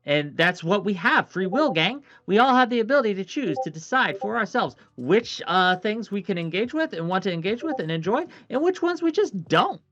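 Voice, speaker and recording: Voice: mid-range voice, very animated, normal speed, few pauses, fairly bright tone, no room sound. A host moderating an online panel, speaking emphatically and repeating points for effect. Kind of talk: casual online discussion. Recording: dry lo-fi mic with faint music.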